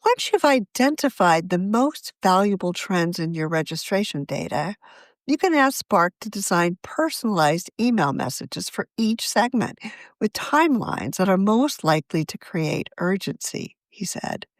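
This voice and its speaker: A woman reading a scripted magazine article aloud as its editor, in a measured, articulate way. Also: mid-range voice, sing-song, no echo.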